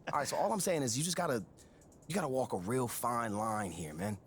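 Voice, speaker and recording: A man's voice: medium pitch, slight background noise, close to an everyday voice. An actor playing a persuasive sports agent who talks strategy with his client in a video game. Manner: persuasive, casual, quick. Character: fast-talking male sports agent, strategic.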